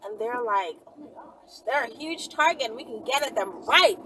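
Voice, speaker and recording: A woman vlogger answering viewer questions in a rambling, animated monologue, often checking in with "right?" and "okay?". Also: fairly high pitch, moderate pace, recorded from a distance, a little hiss or hum, low-quality recording. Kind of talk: casual Q&A monologue.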